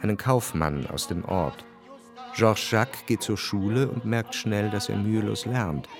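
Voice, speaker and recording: A man narrating a radio drama in a measured, matter-of-fact literary style. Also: lowish voice, gravelly. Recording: music behind the voice.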